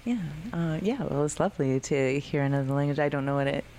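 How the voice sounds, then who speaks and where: A woman hosting a radio interview in a studio, halting with frequent ums and uhs. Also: low voice, warm tone, a little hiss or hum. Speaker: radio host.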